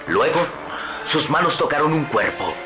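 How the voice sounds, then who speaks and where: A man's voice: fairly high pitch, animated, normal speed. A man narrating a radio adventure serial in a grave storytelling manner. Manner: grave, storytelling, rising to urgent. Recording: echoey vintage radio drama with loud music.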